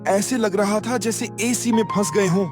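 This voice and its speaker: A man playing an excitable young friend who complains in a cartoon story. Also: high voice, moderate pace, music in the background, close to an everyday voice. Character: excitable young male friend dreading the cold.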